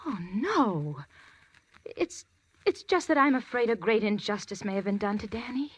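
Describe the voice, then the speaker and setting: An actress playing a nervous, evasive widow in a 1940s radio drama, halting and flustered as she stammers through her lines. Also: a little high, sing-song, breathy edge, dry recording. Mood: anxious. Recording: old 1940s radio broadcast.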